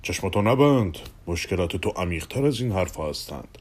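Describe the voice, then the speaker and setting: A man voicing a calm, stern male psychoanalyst in an audio drama, speaking very deep and measured. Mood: stern, patient.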